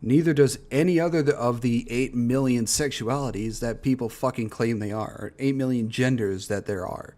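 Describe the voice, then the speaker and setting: A man's voice: medium pitch, moderate pace, hardly any pauses, darkish tone. Blunt, cursing male reaction streamer. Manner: blunt, profane ranting with frequent swearing. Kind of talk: casual reaction commentary.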